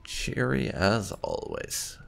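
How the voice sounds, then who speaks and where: A man hosting a roleplay let's play, commenting in a theatrical, wry, drawn-out way. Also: low voice, huge pitch swings, vocal fry, fairly bright tone. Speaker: gaming channel host. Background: faint music.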